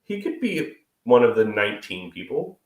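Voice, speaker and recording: A man's voice: medium pitch, very animated, normal speed. A man in his thirties co-hosting a live stream in casual, crude, joking chat, stuffy and sniffly from a cold. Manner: crude, joking, swears freely. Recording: echoey room.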